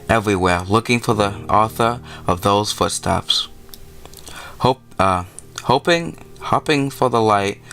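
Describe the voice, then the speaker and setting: Male gaming host, lowish pitch, informal read-aloud of a visual novel, trips on words and corrects himself. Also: fairly bright tone. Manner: stumbles over words while reading, correcting himself. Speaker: let's-play host. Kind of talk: reading a visual novel aloud with casual commentary.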